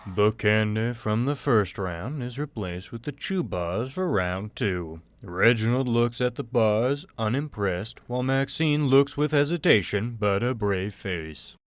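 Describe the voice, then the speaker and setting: A man's voice: on the low side, animated, a little slow, hardly any pauses, no echo. A man reading scripted scene narration for an audio drama.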